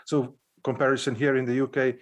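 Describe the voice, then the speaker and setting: A man from Estonia, a pastor giving a careful, halting panel talk. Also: medium pitch, level tone. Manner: halting and careful, with many pauses.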